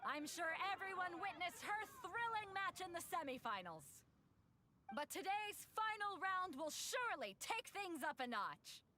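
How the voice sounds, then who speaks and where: A woman's voice: extremely high pitch, very animated, a little slow, pushed and bright, slight background noise, heavily acted. An actress playing a bold female tournament announcer in a video game, calling out to a crowd in a showy, projecting manner. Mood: announcing.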